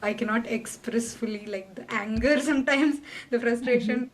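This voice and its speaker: A woman's voice: on the high side, moderate pace. A woman hosting a casual interview, asking questions hesitantly and rephrasing them as she goes. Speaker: host.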